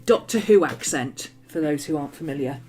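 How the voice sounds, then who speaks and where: A woman's voice: low voice, expressive, a little fast. A middle-aged woman from England's West Country, with a mixed West Country and Manchester accent, chatting casually while she crafts, bubbly and full of ums and laughs. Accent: English, mix of West Country and Manchester. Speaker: journaling streamer. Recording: faint background music.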